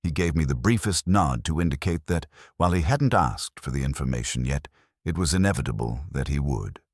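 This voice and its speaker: Male audiobook narrator for first-person crime fiction, extremely low voice, animated intonation. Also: a little fast, no echo, clean studio sound. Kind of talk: scripted first-person detective story narration.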